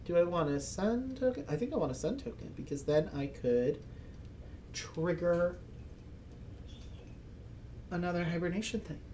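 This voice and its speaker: A man's voice: high voice, lively intonation, moderate pace, long pauses, slight background noise. A man hosting a board game channel, thinking aloud through a solo game with chuckles and self-mocking asides. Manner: speaks as a loud, projecting voice. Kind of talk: casual solo playthrough commentary.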